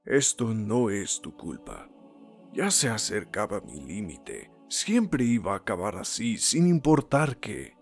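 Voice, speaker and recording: A man playing an earnest, solemn older hero and teacher in an anime dub, speaking in measured, emphatic phrases. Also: lowish voice, very animated, vocal fry, music in the background, heavily acted. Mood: remorseful. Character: earnest heroic older male mentor and teacher. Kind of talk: voice acting demo of anime dub monologue.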